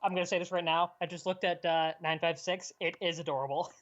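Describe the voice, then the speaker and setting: A woman's voice: lowish voice, steady tone, fast talker, highs cut off. A woman co-hosting a livestream in casual chat. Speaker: livestream co-host.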